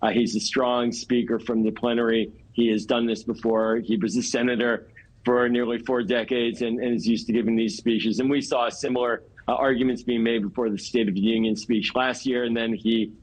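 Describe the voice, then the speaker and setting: An American man speaking as a political analyst in a live TV news interview, in a measured way with hesitant, repeated words. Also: mid-range voice, little pitch movement, rough recording.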